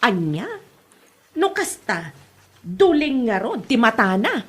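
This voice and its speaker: A woman's voice: a little high, slow, slightly rough, exaggerated voice. A woman acting an astonished, sympathetic village woman with an Ilocano accent in a comedy drama, full of exclamations. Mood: disbelieving. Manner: exclaiming, expressive.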